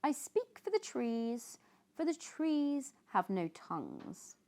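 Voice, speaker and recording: A woman with a British accent voicing a small, oldish, bossy forest creature from a storybook, sharp and loudly declaiming. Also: fairly high pitch, sing-song, slow, voice pushed up, full character voice. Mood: bossy, pleading.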